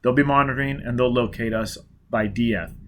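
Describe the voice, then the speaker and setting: A man voicing a brutal, driven spacer who barks clipped, loud orders in an audiobook reading. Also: mid-range voice, a little fast, slight background noise, close to an everyday voice. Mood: urgent.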